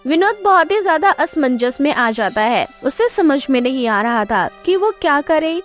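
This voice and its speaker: High, animated female story narrator. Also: normal speed, soft background music. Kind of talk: scripted dramatic story narration.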